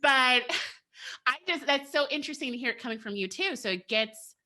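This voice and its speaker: A podcast guest in casual conversation, talking quickly and candidly with expressive emphasis. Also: sits high, heavy background noise.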